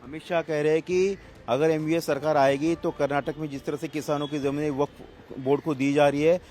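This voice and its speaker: A man's voice: on the high side, fairly even intonation, fairly quick, darkish tone, a little hiss or hum. A man working as a television news reporter, putting long, insistent questions to a guest in a political interview.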